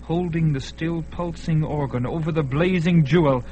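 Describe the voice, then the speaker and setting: A man's voice: fairly high pitch, moderate pace, mellow. A man narrating a fantasy radio drama with tense, storytelling emphasis. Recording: narration over a music bed.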